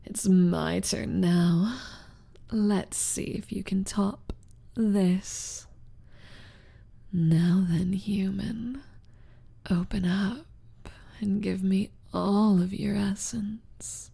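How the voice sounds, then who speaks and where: A woman playing a confident, teasing rival succubus in an ASMR roleplay, with a breathy, whispery, seductive delivery. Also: mid-range voice, steady tone, extremely slow, long pauses, mellow, no echo, exaggerated voice. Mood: sultry, commanding.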